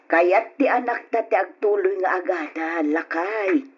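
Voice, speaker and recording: An actress playing a gentle, anxious middle-aged mother in an old radio drama, with a pleading, soothing manner. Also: on the low side, unhurried, husky, lo-fi sound. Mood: soothing, worried.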